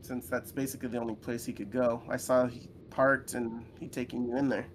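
A man's voice: medium pitch, level tone, fairly quick, slight background noise, lightly acted. A man voicing a blunt, curt male lizard friend in a visual novel game. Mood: matter-of-fact. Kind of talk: voice-acting visual novel dialogue.

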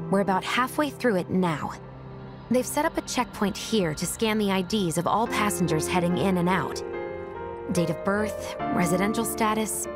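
A woman's voice: mid-range voice, natural voice. Woman acting breezy, teasing young rebel, music behind. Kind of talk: video game voice acting.

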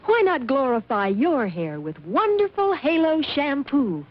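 An actress playing a sweet, dramatic damsel in a 1950s cartoon commercial. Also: female voice, sits high, very animated, measured pace. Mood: delighted. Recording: muffled, very noisy old film soundtrack.

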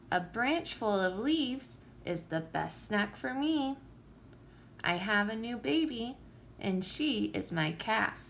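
A woman reads a children's story aloud in a high voice. Her delivery is unhurried and deliberate, with big swoops in pitch. She phrases it clearly, as a teacher does for young listeners. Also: a bit bright, a little hiss or hum. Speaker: teacher reading a picture book aloud.

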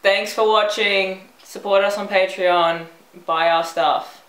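A woman, bantering casually from behind the camera in a teasing, deadpan way. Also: medium pitch, slow. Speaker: camera operator and interviewer. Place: home kitchen, indoors. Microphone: behind a handheld camera. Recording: noisy kitchen with clattering.

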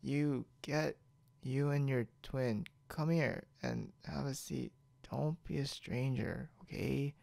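Man playing a drunk young man, heavy gravelly fry, very slow halting delivery, stubborn and muddled, ASMR roleplay. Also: mid-range voice, darkish tone, no echo. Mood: sleepy, needy. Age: young adult. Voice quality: gravelly, creaky. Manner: halting, rambling, mumbled drunk speech with repeated words. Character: tipsy, stubborn, boastful, confused young man.

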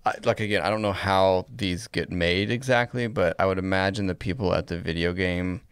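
A man co-hosting a casual podcast in a measured, tentative way on a close studio mic. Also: low-pitched, smooth, no echo. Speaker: podcast co-host. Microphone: close boom-arm studio mic.

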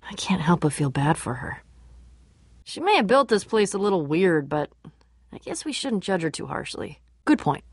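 A woman's voice: on the low side, very animated, normal speed, breathy edge, no echo, clean studio sound. An actress playing a tough, guarded young female adventurer in a video game, casual, candid and dryly sarcastic. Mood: earnest, subdued. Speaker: game voice actor. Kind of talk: video game character dialogue.

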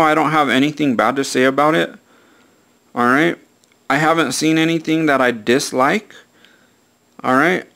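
A man's voice: mid-range voice, creaky, fairly bright tone. A man talking casually through a hands-on product review in a plain-spoken, repetitive way, often punctuating with "all right".

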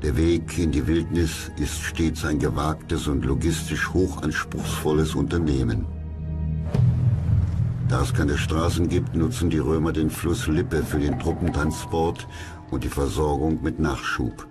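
Casting: male history narrator, extremely low voice, measured and factual, music bed behind. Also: fairly even intonation. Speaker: documentary narrator. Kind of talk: scripted history documentary narration. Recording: clear music under the voice.

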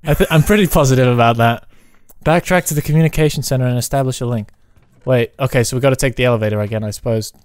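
A man chatting casually and excitably while playing a co-op game, joking and exclaiming as he goes. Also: medium pitch, fast talker, smooth. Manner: jokey, exclaiming. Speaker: gamer playing a co-op roleplay map. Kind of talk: excitable casual gameplay chat.

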